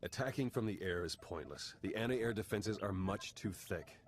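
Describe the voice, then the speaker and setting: A man's voice: on the low side, normal speed, close to an everyday voice. An actor playing a wry, weary male spy and hacker in a video game cutscene, talking dryly and with urgency. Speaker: spy and slicer.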